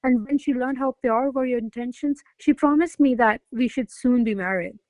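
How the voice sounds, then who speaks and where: A woman's voice: on the high side, normal speed, a little mellow, no echo, lightly acted, poor audio. Woman acting an effusive young maid in love. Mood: elated, adoring. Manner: effusive, romantic, theatrical. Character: devoted, excitable young lady-in-waiting in love. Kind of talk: verse stage comedy, recited as radio play.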